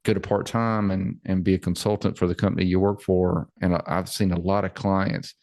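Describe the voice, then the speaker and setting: A man's voice: low voice, level tone, fairly quick, vocal fry, darkish tone, no echo, clean studio sound. A man in his forties, a financial planner chatting casually on a podcast, repeating words and laughing easily. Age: 40s.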